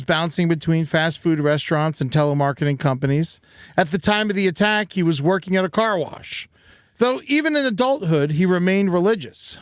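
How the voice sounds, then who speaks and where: A man with a notably high voice hosts a comedy podcast. He reads prepared narration and drops in wry, darkly funny asides. The sound is dry and close to the mic. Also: no echo. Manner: wry, dark-humored asides. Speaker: podcast host. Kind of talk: comedic scripted narration with banter. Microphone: close studio podcast mic.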